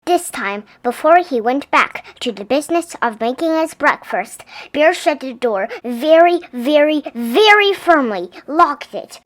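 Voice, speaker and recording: Woman, high-pitched, expressive storybook narration, read-aloud for young children. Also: measured pace, hardly any pauses, slight background noise. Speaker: storybook reader.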